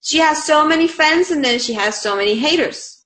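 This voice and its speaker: A woman's voice: sits high, expressive, normal speed, a bit bright. A woman from Bulgaria with a Bulgarian accent, chatting casually in an interview, bubbly and laughing often between fillers. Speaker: online community manager.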